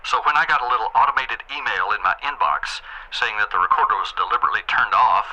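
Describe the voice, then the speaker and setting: An actor playing an overbearing corporate boss in an audio drama, with a measured, condescending, passive-aggressive manner that is polite on the surface and menacing underneath. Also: male voice, on the low side, animated, pushed and bright, lo-fi sound. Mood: stern, controlled. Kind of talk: naturalistic audio drama acting.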